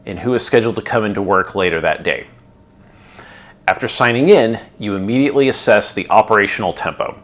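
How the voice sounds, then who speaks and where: A middle-aged man hosting his channel, narrating a scripted explainer in a clear, instructional way. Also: fairly low pitch. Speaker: channel host explaining air traffic control.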